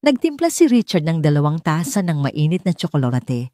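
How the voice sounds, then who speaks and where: A woman's voice: low voice, very animated, moderate pace, dark tone, no echo, studio quality. A woman with a Filipino accent reading a scripted romance story as an audiobook narrator.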